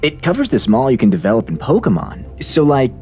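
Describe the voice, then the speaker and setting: An eager, clingy male chatter, acted out by a man in a dramatized message reading. His middle-pitched voice leaps around animatedly as he wheedles and apologizes, over faint music. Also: wildly swooping pitch, a little fast, a little mellow. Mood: flattering.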